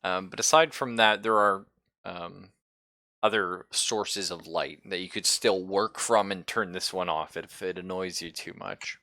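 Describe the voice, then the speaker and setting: Guy running a tabletop rpg, gravelly low voice, kinda halting, thinking out loud, dry sound. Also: dry recording. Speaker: game master. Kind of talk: improvised tabletop game narration.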